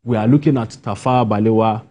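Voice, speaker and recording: Husky, mellow-voiced Nigerian man. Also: on the low side, steady tone, fast. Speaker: interview guest, playwright. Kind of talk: spontaneous broadcast interview answers.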